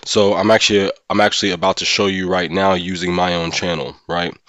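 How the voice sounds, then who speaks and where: Male gaming streamer, notably deep register, dry close sound, informal slangy delivery aimed straight at live viewers. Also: flat delivery, fairly quick, fairly bright tone, no echo. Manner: informal, slangy, checks in often with listeners. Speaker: streamer and host of a gaming channel. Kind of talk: casual livestream discussion.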